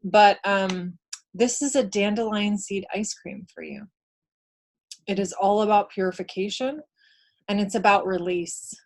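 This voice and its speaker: A woman's voice: medium pitch, steady tone, measured pace, a little mellow. A woman co-hosting a casual spiritual livestream, explaining her readings conversationally as she searches for the right words. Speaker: co-host of a spiritual healing livestream.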